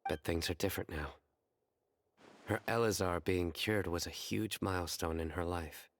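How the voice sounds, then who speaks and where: Casting: grave young game lawman, deep-pitched, measured and serious. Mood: grave, caring. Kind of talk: video game cutscene acting.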